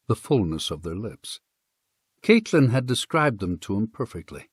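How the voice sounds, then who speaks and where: A man narrating a western story as an audiobook, in a measured, expressive storytelling manner. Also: lowish voice, husky, dry recording, clean studio sound.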